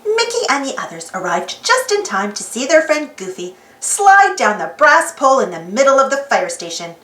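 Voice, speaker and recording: High, animated woman reading a storybook. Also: normal speed. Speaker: storybook reader. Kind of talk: animated read-aloud children's narration. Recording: roomy, slightly distant mic.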